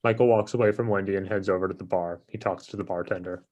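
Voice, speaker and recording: A man's voice: low-pitched, steady tone, fast, darkish tone, highs cut off. A man reading stage directions as scripted narration in a table read. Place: online live stream table read.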